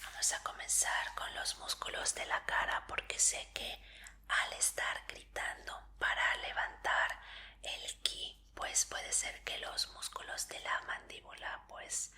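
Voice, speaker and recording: A woman doing a whispered ASMR medical roleplay in a breathy voice, speaking politely and reassuringly as an examiner. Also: slow pace, pushed and bright.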